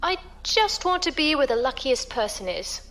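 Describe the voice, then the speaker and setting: A woman's voice: high-pitched, very animated, normal speed, a bit bright, exaggerated voice. An actress playing an earnest, tender-hearted female passenger among space pirates in a science fiction audio drama. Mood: earnest, determined.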